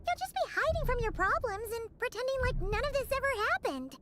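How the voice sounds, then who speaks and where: A woman voicing a tiny, excitable childlike companion creature in a video game, squeaky and exclamatory. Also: quick pace, very noisy. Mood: earnest scolding. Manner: exclamatory, anxious. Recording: game audio under loud music.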